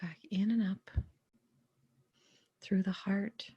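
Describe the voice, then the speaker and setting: A woman leading a guided meditation in a soft, breathy, often near-whispered voice, gently and without hurry, pausing between short phrases. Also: medium pitch, steady tone, dry recording, highs cut off. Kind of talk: guided meditation, soothing spoken instruction.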